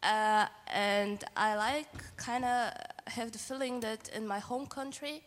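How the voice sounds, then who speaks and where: A woman's voice: on the high side, fairly even intonation, slow pace, fairly bright tone. A woman from Bulgaria, making an informal, hesitant comment from the audience at a discussion. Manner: tentative, casual, hesitant. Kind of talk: informal spoken remark at a discussion. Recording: echoey hall with long reverb.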